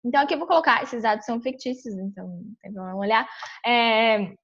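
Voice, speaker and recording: A young woman from São Paulo with a Brazilian accent talks through a tutorial at her computer. Her voice sits a little high, and she chats loosely with many fillers and restarts. The sound comes through a dull, lo-fi webcam mic indoors. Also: fast talker, a bit bright, breathy edge. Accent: São Paulo Brazilian Portuguese. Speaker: vlogger walking through a visa application. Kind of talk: casual screen-share tutorial.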